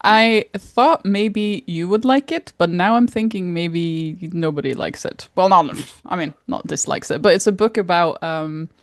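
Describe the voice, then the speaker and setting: Female podcast co-host, low-pitched voice, loose rambling conversational delivery, dry close sound. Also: measured pace, few pauses, no echo. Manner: informal, rambling, frequent 'like', mild swearing. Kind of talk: casual podcast chat.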